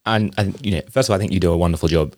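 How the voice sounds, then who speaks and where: A podcast host with a low, deep voice asks his guest long, winding questions. He halts often and circles back mid-sentence, and the close mic sounds dry and dead. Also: darkish tone, dry recording. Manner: halting, many fillers and restarts. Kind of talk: interview questions.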